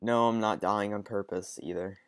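A man's voice: lowish voice, steady tone, normal speed, fairly bright tone, no echo, clean studio sound. A young man with a cold, sounding sniffly, chatting hesitantly with lots of ums while playing a game. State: stuffy with a cold. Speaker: gaming channel host. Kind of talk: casual let's-play commentary.

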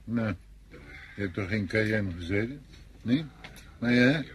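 An actor playing a wary, gossiping front-line soldier in a Dutch radio drama, knowing and conversational. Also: male voice, lowish voice, lively intonation, slow pace, slight background noise.

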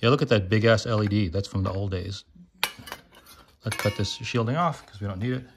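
A man's voice: lowish voice, fairly quick, creaky. A man giving casual hands-on commentary, thinking aloud and explaining as he works on electronics. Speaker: host taking apart electronics. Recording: noisy hobby recording, clicks and handling.

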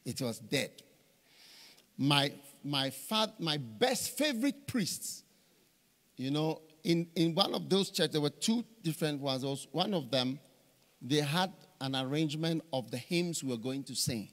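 A man's voice: fairly high pitch, unhurried. A middle-aged man preaching at a conference on a stage, telling stories with many repeated phrases, speaking into a handheld mic. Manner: animated storytelling with repeated phrases. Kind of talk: conference sermon. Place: large church stage with hall reverb. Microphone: handheld microphone. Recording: reverberant hall.

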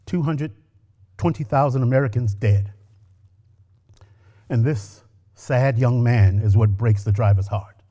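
Middle-aged male actor, on-stage story reading at a lectern, measured and expressive. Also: mid-range voice. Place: stage with orange curtains. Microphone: lectern microphone.

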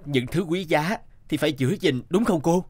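A man playing an earnest, gentle young working man and devoted son in a cartoon. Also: fairly high pitch, animated, moderate pace, natural voice. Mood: tender, sincere. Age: young adult. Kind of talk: Vietnamese cartoon dialogue. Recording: loud music under the voice.

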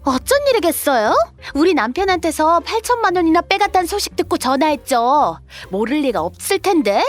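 Woman acting as brazen sister-in-law, very high voice, animated pitch, indignant, whiny, audio drama. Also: a little fast, faint music behind. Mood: confrontational. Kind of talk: scripted audio drama dialogue.

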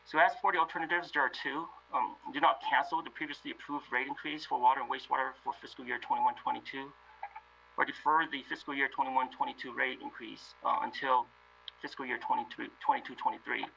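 Gravelly man presenting a budget report. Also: medium pitch, steady tone, bright, forceful tone, lo-fi sound, slight background noise. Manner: measured, many fillers. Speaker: city finance director. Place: remote video meeting.

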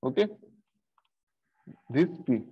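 A middle-aged man teaching programming over a screen-share video call, talking slowly and haltingly with many fillers and repeated okays. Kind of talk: screen-share coding lesson. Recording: dull lo-fi sound.

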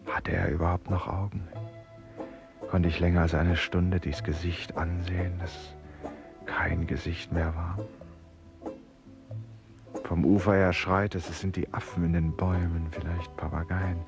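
A man's voice: low-pitched, little pitch movement, a little slow, gravelly, pauses often, music in the background. An actor playing a haunted, brooding man in a radio play, musing in fragments and sometimes near a whisper. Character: haunted, brooding male narrator lost in thought. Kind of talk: German radio play inner monologue.

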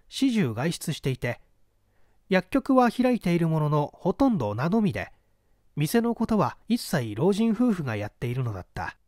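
A man narrates a story in a fairly high voice. His intonation moves up and down a great deal, while the phrasing stays measured and deliberate. The sound is dry and close, as in a literary audiobook. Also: a little mellow, no echo. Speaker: audiobook reader, narrator of classic mystery fiction.

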